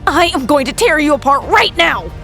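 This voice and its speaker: A woman's voice: high voice, sing-song, moderate pace, a bit bright, soft background music, heavily acted. A woman playing an arrogant, spiteful young model in an audio drama, haughty and taunting. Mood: outraged.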